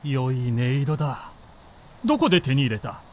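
An actor playing an imperious, amused feudal Japanese warlord in a period radio drama, with commanding, archaic, lordly speech. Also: male voice, medium pitch, big pitch swings, moderate pace, loud music behind the voice, close to an everyday voice. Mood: imperious, curious.

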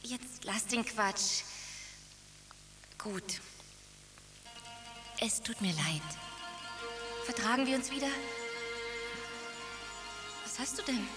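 A young woman playing a spirited, quick-tempered princess, a tsar's daughter, in a radio play, emotional and forthright. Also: on the high side, expressive, in a large hall, over music. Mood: coaxing. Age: young adult. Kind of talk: radio drama acting.

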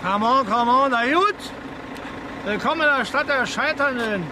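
Brash, high-voiced man. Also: moderate pace. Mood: mocking, ranting. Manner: sarcastic, cursing, talkative. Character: brash, mocking, foul-mouthed middle-aged Berlin driver. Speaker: radio drama actor. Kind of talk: German radio play dialogue. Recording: music and traffic behind the voice. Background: music, street and vehicle sounds.

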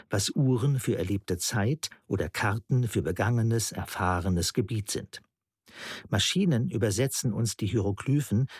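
A man's voice: lowish voice, a little mellow, slightly rough, no room sound, studio quality. An actor playing an earnest male theorist who lectures precisely and didactically in a German radio play.

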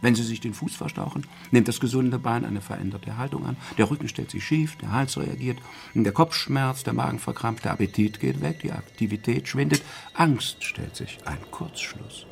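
An actor playing a courteous, self-assured doctor who explains things in a genteel, deliberate way, in a radio crime drama. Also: male voice, medium pitch, vocal fry, lightly acted. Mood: explaining.

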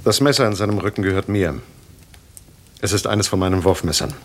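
Actor as a cool, low-voiced male suspect. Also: fairly quick, slight background noise, close to an everyday voice. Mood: cool, frank. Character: cool, forthright male suspect, a knife thrower. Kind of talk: German radio crime drama acting.

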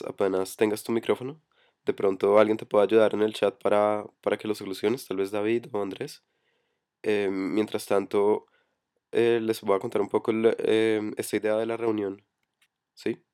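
A hoarse man with a cold, running an online voice chat meeting and speaking hesitantly with many fillers. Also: on the low side, little pitch movement, moderate pace, darkish tone. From Colombia. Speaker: meeting coordinator. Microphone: computer headset for voice chat.

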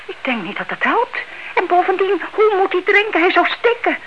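An actress playing a stubborn, mischievous elderly aunt and amateur sleuth in an old Dutch radio play, airy and insistent, with a Netherlands accent. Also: female voice, very high-pitched, sing-song, normal speed. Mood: airy, unconcerned. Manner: airy, insistent, unflappable, chattering. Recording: dull, noisy old radio recording.

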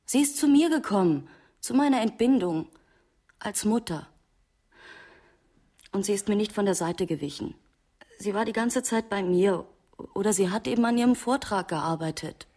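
An actress playing a murder victim's grown daughter, a new mother, subdued and measured in a radio crime drama. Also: medium pitch, expressive, dark tone. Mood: defensive.

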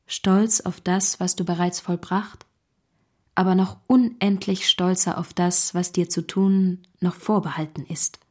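A gentle, sisterly young Victorian woman in a radio drama. The voice is slightly low and soft, with a husky grain. She speaks with earnest, solemn devotion. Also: steady tone, measured pace. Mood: solemn, loving. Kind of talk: literary radio drama acting.

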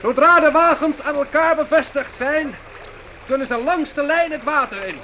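A man's voice: very high voice, normal speed, pushed and bright. An actor playing a father who leads a wagon train and gives firm orders to his men in a radio play. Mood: commanding. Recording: very noisy old radio recording.

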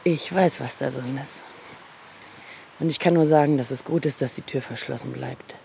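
A man's voice: medium pitch, normal speed, gravelly, mellow, a little hiss or hum. A man narrating in the first person for a radio piece.

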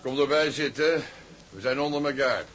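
Male actor as blunt, dismissive tug officer, period drama, medium pitch, light background noise. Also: normal speed, fairly bright tone, lightly acted. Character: plain-spoken, dismissive deep-sea tug officer. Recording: clear background ambience noise.

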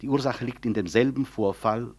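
An actor playing an urbane hospital director in a German radio play, chairing formally with courteous, measured, dry irony. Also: male voice, mid-range voice, darkish tone, dry recording, natural voice. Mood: composed irony. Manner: courteous, measured, dryly ironic.

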